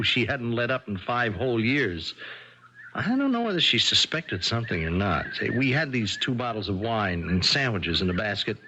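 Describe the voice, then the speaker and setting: An actor playing a henpecked ex-convict husband in a 1970s radio mystery, outwardly easygoing and placating, with a sly, scheming undertone. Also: male voice, fairly low pitch, bright, forceful tone. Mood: weary, wry. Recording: noisy, muffled 1970s radio broadcast.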